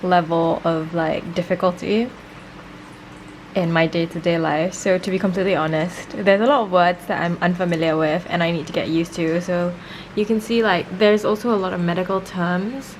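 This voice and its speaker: A young woman vlogging in a relaxed, chatty way, explaining things step by step from her desk at home. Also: fairly low pitch, moderate pace, a little hiss or hum, rough recording. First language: English. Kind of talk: casual vlog narration. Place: small room at home, at a desk. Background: background music.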